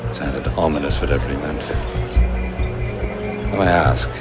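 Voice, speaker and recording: An actor playing a cautious, formal silversmith held captive, in a video game cutscene. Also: male voice, rumbling low, moderate pace, over loud music. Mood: guarded, courteous. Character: male captive silversmith, cautious, courteous, secretive.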